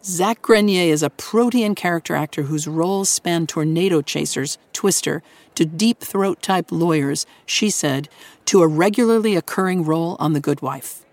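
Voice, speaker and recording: A woman hosting a radio show, giving a scripted introduction to a story in a measured, articulate way with deliberate pauses. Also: lowish voice, a little mellow, no room sound.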